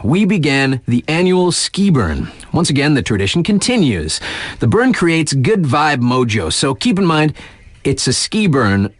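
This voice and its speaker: A young, easygoing snowboard-town radio DJ for a video game, a man with a North American accent. His delivery is casual and wry, with drawn-out emphasis and lively swings in pitch. The voice sits in a medium male register and sounds close and dry. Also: no room sound. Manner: casual, tongue-in-cheek, drawling emphasis. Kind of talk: scripted radio DJ patter.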